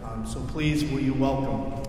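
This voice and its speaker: A man's voice: medium pitch, steady tone. Man introducing a guest in an echoey hall. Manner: halting, with frequent fillers. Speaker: church relations director, event host. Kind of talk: introducing a speaker. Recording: echoey hall with some noise.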